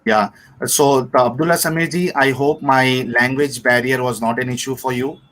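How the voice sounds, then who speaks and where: A man from India with an Indian accent and an average-pitched voice hosts a livestream. He speaks without a script, politely and a little haltingly. His forms of address are formal and respectful. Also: fairly even intonation, fairly bright tone, low-quality recording. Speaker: livestream host. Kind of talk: unscripted livestream hosting.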